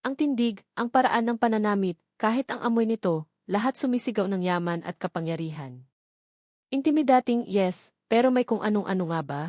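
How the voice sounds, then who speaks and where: Lady narrating a romance audio drama, scripted storyteller style, mid pitch, super dry recording. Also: expressive, fairly quick, a little mellow, no echo.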